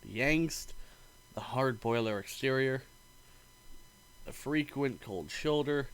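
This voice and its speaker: A man reading a visual novel aloud in an expressive, playful narrating style. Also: mid-range voice, slow, clean tone.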